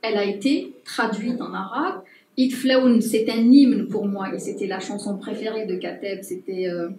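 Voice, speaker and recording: A woman anthropologist speaking at a public talk, pausing often with fillers and restarts. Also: medium pitch, a little mellow, a little hiss or hum. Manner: hesitant, many fillers and restarts. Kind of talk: unscripted talk to an audience. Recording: roomy distant mic with faint ambience.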